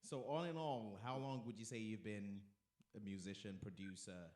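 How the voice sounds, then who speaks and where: A man's voice: lowish voice, fairly even intonation, moderate pace, darkish tone. A man hosting a radio show, interviewing a guest with upbeat, playful banter. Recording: echoey room.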